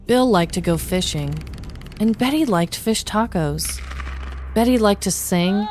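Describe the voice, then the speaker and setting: A woman's voice: mid-range voice, darkish tone. A woman podcast host telling scripted true-crime stories with dramatic, tongue-in-cheek delivery, like a comedic narrator.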